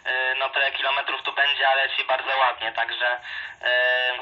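A man's voice: mid-range voice, monotone, moderate pace, sharp tone. A man chatting casually and a bit hesitantly as an amateur radio operator, heard through a two-way radio. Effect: two-way radio transmission. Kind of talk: casual ham radio contact. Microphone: phone recording a handheld radio's speaker. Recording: dull radio speaker recorded by phone.

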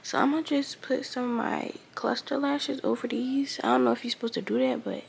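Teen girl vlogger, just woke up, raspy and unhurried, phone held close in her bedroom. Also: high voice, a little hiss or hum. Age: teens. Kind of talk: casual morning vlog talk. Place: bedroom, indoors. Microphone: phone held close at arm's length.

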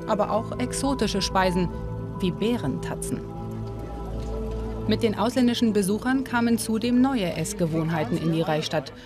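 A woman narrating a documentary in a calm, measured, informative way. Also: medium pitch. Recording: voice-over with music behind. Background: music.

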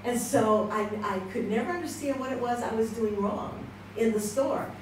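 A woman's voice: a little high, normal speed. A woman in her sixties with an American accent, telling personal stories to a conference audience on a handheld mic, chatty and laughing often. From United States. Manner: chatty, laughs often, acts out quoted exchanges. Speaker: conference speaker. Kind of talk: personal testimony, storytelling. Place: church hall with audience. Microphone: handheld mic, seated, filmed from across the room. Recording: echoey hall from far off with background noise.

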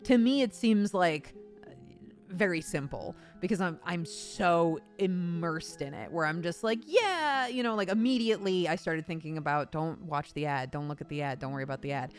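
A woman with a slightly low-pitched voice talks casually, like a host in conversation. She illustrates her thoughts with little imagined lines of dialogue. Faint music plays behind her. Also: animated, moderate pace. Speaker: voice actor and host.

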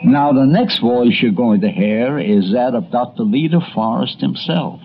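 A man's voice: mid-range voice, expressive, a little slow, gravelly. A man narrating a documentary in a conversational, storytelling way. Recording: dull vintage audio with music behind.

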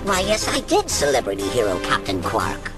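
Wildly swooping pompous tyrant emperor. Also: male voice, medium pitch, huge pitch swings, normal speed, loud music behind the voice. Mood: smug salesman. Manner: grandiose, theatrical, menacing. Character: small pompous male alien tyrant emperor, gleefully cruel. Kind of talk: video game cutscene villain acting.

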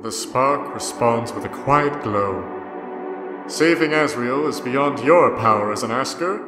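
A man playing a solemn, mysterious narrator, reading scripted game-style narration in a measured way. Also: medium pitch, lightly acted. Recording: echoey reverberant sound, music behind.

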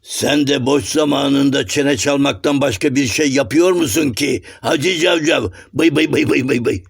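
A man voicing a grumpy, blunt, mocking folk clown in a children's cartoon, with rough, exclamatory comic retorts. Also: mid-range voice, unhurried. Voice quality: gravelly, rough.

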